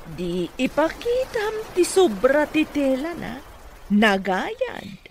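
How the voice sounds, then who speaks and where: A woman's voice: sits high, big pitch swings, takes it slowly, slight background noise, exaggerated voice. A woman acting a doting, scheming mother in an Ilocano radio comedy drama, speaking in an emphatic, coaxing way. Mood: coaxing, proud. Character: doting, scheming mother pushing her daughter toward the boss.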